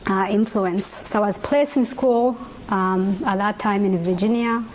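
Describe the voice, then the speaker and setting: A young woman from Rwanda, a native Kinyarwanda speaker, gives a measured, earnest testimony in English and pauses often with "uh" and "um.". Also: mid-range voice, darkish tone, slight background noise, poor audio. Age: young adult. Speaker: genocide survivor speaker. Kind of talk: personal testimony talk.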